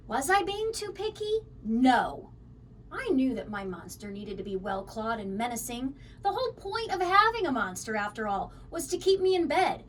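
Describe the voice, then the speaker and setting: A woman reading a children's story aloud in an expressive, dramatic way. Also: high voice, recorded from a distance, a little hiss or hum. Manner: animated, dramatic. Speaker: storybook reader.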